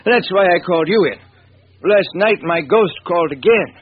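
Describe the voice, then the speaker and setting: An actor playing a formal, imperious and superstitious wealthy chemist in an old-time radio drama, on a muffled, noisy recording. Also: male voice, high-pitched, animated, normal speed, breathy edge. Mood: grave, uneasy.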